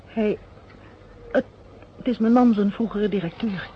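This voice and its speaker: A woman's voice: mid-range voice, unhurried, close to an everyday voice. An actress playing a polite, wary housewife in a radio play, flustered and hesitant, repeating her words. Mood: hesitant, respectful. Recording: dull, noisy old radio recording.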